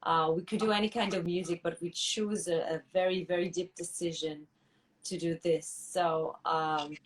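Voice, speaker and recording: A woman musician answering interview questions thoughtfully, pausing and restarting often as she searches for words. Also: fairly low pitch, fairly even intonation, distant mic, rough recording. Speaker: musician guest.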